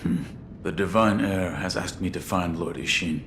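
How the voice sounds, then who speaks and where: A man playing a stoic shinobi warrior in a video game, speaking in terse, grave, measured phrases. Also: deep voice, background noise. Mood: stoic, reserved. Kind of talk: dramatic video game dialogue.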